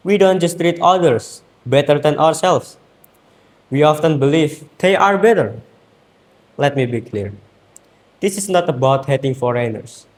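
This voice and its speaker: A teenage student from Indonesia with an Indonesian accent, giving an earnest, rhetorical competition speech with emphatic pauses. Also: male voice, a little high, animated, darkish tone. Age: teens.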